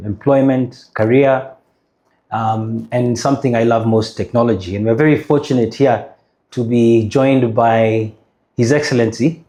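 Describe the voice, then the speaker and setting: A male podcast host with a Kenyan accent asks questions in a conversational way. His voice is mid-pitched and mellow, with a noticeably roomy echo around it. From Mombasa, Kenya. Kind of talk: conversational interview.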